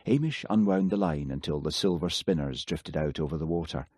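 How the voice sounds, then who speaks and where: A man narrating an audiobook with calm, steady pacing. His voice is very low and slightly husky, and the recording is dry and close with no room sound. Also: brisk, no echo. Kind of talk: scripted narration.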